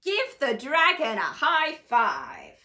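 Very slow, high-pitched woman reading to children. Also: noisy background. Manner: exaggerated, expressive, slow and deliberate delivery to children. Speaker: children's story reader. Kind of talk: animated read-along storytelling for young children. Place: small living room, on a sofa indoors.

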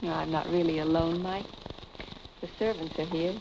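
Composed young wife, noisy old film. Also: female voice, low voice, steady tone, moderate pace. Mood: pensive, composed. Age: young adult. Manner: composed, direct. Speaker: film actor. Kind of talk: 1940s film acting. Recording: noisy vintage film soundtrack.

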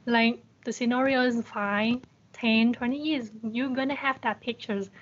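Young woman doing a chatty motivational talk, lots of rhetorical questions, highish voice, bit muffled call audio. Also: fairly even intonation, a little slow. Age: young adult. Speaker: guest speaker. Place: indoors on a group video call. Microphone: video call. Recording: dull video-call audio.

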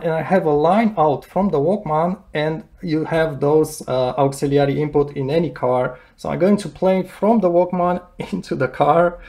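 A man giving a casual, unscripted how-to demonstration in a halting, hesitant way, searching for words as he goes. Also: fairly high pitch, gravelly, dark tone. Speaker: hobbyist demonstrator. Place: indoors at home beside hi-fi equipment.

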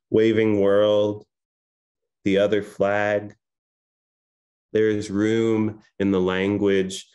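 A man in his thirties, a poet from Iran, giving a soft-spoken, hesitant poetry reading over a home video call. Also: sits low, smooth. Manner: soft-spoken, hesitant with many fillers between poems. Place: home room with framed pictures on the wall. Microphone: laptop webcam video call.

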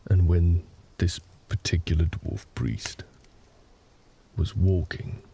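A man playing a gentle, big orc husband in a comfort roleplay audio, telling a story in a hesitant, reassuring, gravelly way. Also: little pitch movement, heavily acted. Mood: calm storytelling. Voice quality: very deep, gravelly. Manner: slow, hesitant, reassuring, conversational.

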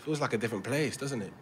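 A man's voice: medium pitch, a bit bright, a little hiss or hum, lightly acted. An actor playing a young man in a naturalistic audio drama, matey and colloquial, prickly with his mum. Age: young adult.

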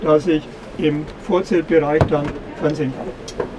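Middle aged guy explaining product stuff, bit halting, voice on the high side, busy fair noise. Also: steady tone. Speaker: caravan company representative. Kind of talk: product presentation in an interview. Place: caravan at an indoor trade fair. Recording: clear background noise of busy trade fair.

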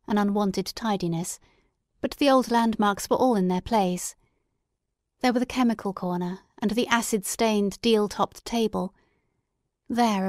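A woman's voice: medium pitch, a little mellow, no room sound, studio quality. A woman reading scripted literary narration as an audiobook narrator.

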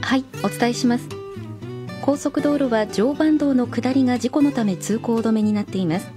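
A woman's voice: a little high, moderate pace, over music. A woman reporter delivering a scripted traffic bulletin on radio.

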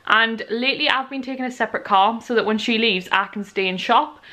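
A tired woman in her twenties with a croaky voice, chatting rambly to camera at home. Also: fairly high pitch, fairly quick, pushed and bright. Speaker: vlogger. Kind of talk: casual chat to camera. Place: small bedroom at home. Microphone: handheld camera at arm's length.

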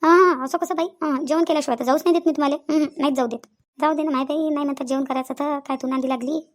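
A woman's voice: sits high, fairly even intonation, very fast, lightly acted. A woman playing insistent, talkative village women in a comedy cartoon, with a rural Vidarbha Maharashtrian accent and a habit of repeating phrases. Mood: insistent hospitality. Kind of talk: Marathi comedy cartoon dialogue.

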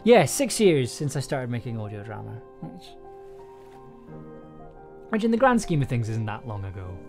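A man's voice: mid-range voice, big pitch swings, moderate pace, gravelly, a little mellow, soft background music. A young man chatting casually on a livestream, rambling and hesitant, often breaking off and restarting his own sentences. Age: young adult. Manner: rambling, hesitant, self-interrupting. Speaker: audio drama maker and livestream host. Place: small indoor home room.

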